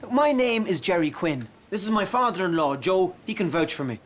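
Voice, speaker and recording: A man's voice: sits high, sing-song, a little fast. An actor playing an exasperated man who answers everything with sarcasm. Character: exasperated middle-aged man, sarcastic and easily riled. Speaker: put-upon son-in-law. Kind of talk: sitcom acting.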